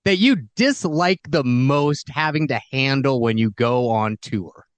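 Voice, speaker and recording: A man's voice: medium pitch, lively intonation, measured pace, no room sound. A man co-hosting a casual, chatty interview with a guest.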